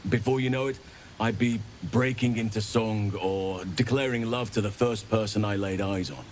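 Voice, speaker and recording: A man playing a gentle, modest adventurer in a video game, courteous, measured and self-deprecating. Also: lowish voice, slight background noise, lightly acted. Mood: gentle, wry. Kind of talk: video game character acting.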